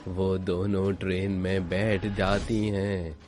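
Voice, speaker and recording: Guy doing an old train passenger in a horror cartoon, low voice, slow, scared and grave. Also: level tone, a little mellow. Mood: grave recounting. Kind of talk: cartoon horror story acting. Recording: music behind the voice.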